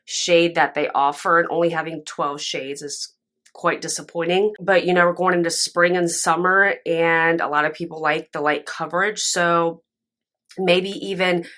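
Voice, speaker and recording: A young woman reviewing makeup to camera at home, chatty and candid, her voice raspy and stuffy from a nap. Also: lowish voice, normal speed. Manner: chatty, candid and expressive, with frequent fillers. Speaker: beauty vlogger. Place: home room with candle and plants, indoors. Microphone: camera in front, sometimes very close.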